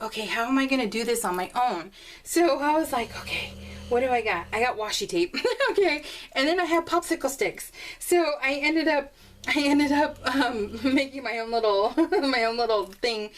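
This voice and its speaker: A woman vlogger chatting casually and bubbly to her viewers, rambling in a scattered way and circling back on her thoughts. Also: fairly high pitch. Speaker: crafting vlogger.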